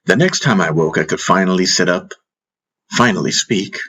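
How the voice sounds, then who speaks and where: A man's voice: low voice, expressive, moderate pace, fairly bright tone, slightly rough. A man narrating a fantasy audiobook in the first person, in a solemn, dramatic manner.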